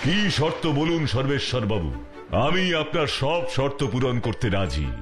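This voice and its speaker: A man's voice: mid-range voice, very animated, moderate pace, music in the background, lightly acted. A man voicing a brave, righteous superhero detective in a children's cartoon, speaking earnestly and firmly. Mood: firm, reasoning.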